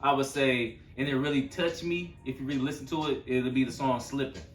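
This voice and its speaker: A man from Flint co-hosting a podcast, chiming in with quick hyped remarks. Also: mid-range voice, steady tone, echoey room, recorded from a distance, a little hiss or hum. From Flint, Michigan. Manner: quick interjections, hype. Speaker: podcast co-host. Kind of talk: casual chat.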